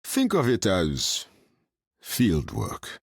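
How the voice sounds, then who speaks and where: An actor playing a commanding, calculating villain boss in a video game, deep and gravelly, speaking in a measured, authoritative way. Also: male voice, wildly swooping pitch, dry recording, heavily acted. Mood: stern, composed. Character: commanding, calculating male villain leader of a criminal organization.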